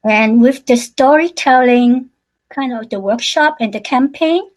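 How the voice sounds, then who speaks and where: Woman, halting testimony. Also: on the high side. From Hong Kong. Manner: halting, many fillers and restarts. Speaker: panel speaker sharing her story. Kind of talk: personal testimony on a webinar.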